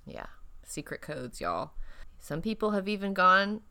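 A woman's voice: lowish voice, sing-song, measured pace, pushed and bright. A woman co-hosting a podcast in casual chat, playful and joking, laughing often, sounding a little tired. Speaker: podcast co-host.

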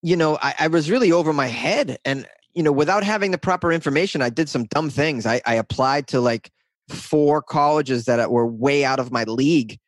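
Man, 30s, from north of Boston, interview guest, rambling, jokey, somewhat high voice, dry sound. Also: normal speed, no echo. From north of Boston, USA. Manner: rambling, self-deprecating, laughs often. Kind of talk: casual interview chat.

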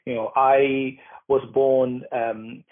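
A man, a documentary maker answering interview questions earnestly, pausing to find his words. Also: medium pitch, flat delivery. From Sri Lanka. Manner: earnest, searching for words, emphatic. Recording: phone-like remote line.

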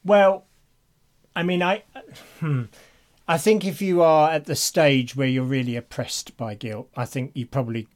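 Male podcast co-host, slightly higher register, earnest and emphatic in thoughtful talk on faith. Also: fairly even intonation, unhurried. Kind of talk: reflective conversation about faith and confession.

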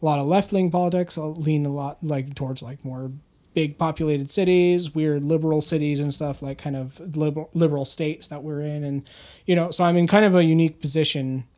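A man's voice: on the high side, steady tone, brisk, dark tone. A young man in casual podcast chat, rambling with lots of fillers and swearing, sitting back from the microphone. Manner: rambling, profane, filler-heavy. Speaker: podcast co-host. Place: indoor studio, leaning away from mic.

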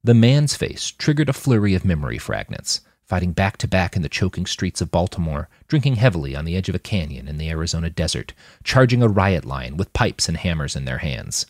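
A man reading a scripted story aloud as an expressive audiobook-style narrator. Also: low voice, brisk, few pauses, dry recording, clean studio sound. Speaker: author reading own fiction podcast.

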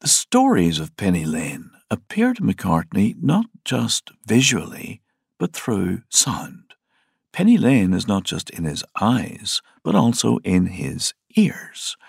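Gravelly, low-voiced male podcast narrator. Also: wildly swooping pitch, takes it slowly, no echo, clean studio sound. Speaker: podcast host. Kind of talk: scripted storytelling narration.

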